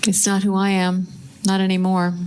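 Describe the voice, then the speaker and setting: A woman playing a guilt-ridden, self-blaming married animal lover in a live radio play, speaking earnestly and haltingly. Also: mid-range voice, completely flat delivery, a little mellow, smooth, close to an everyday voice. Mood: weary, defiant. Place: live on a theatre stage.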